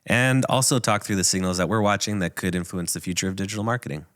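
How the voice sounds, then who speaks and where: A man in his thirties co-hosting a podcast in casual chat, hoarse and raspy from a cold, easygoing and joking. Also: sits low, fast talker, a bit bright, no echo, studio quality. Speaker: podcast co-host.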